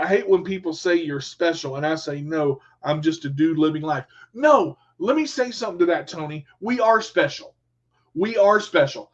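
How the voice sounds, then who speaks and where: Emphatic high-voiced man hosting radio. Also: lo-fi sound. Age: adult with a son. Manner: emphatic, repetitive, talks straight to listeners. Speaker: radio show host. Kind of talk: motivational monologue.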